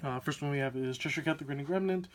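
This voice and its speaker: Slightly hoarse young man. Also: a little high, quick pace, slight background noise. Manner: chatty, upbeat, many "uh" fillers. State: hoarse, sore throat. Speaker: card game channel host. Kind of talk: casual deck profile walkthrough.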